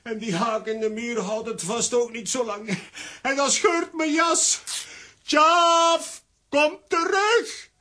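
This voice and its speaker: Comic coward for children's audio drama: high-pitched, slow and drawn out, excitable stammering braggart. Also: male voice, full character voice. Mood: frightened. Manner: boastful, excitable, stammering when flustered.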